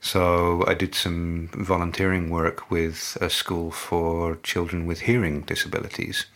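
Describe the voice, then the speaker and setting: A middle-aged man, a native English speaker, answering interview questions in a measured, halting way with many fillers and restarts. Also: low voice, flat delivery, fairly bright tone. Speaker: company representative, interviewee.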